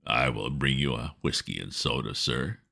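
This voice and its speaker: A man voicing a calm, deferential valet who speaks in a measured, grave manner in a storybook reading. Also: very deep voice, vocal fry, voice pushed down, full character voice. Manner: measured, grave, soft-spoken.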